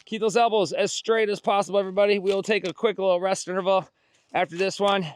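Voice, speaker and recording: A man's voice: sits high, little pitch movement, quick pace, clear, resonant tone. A middle-aged man coaching a workout outdoors, cueing form, counting reps and cheering people on. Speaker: fitness instructor. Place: outdoors on a beach.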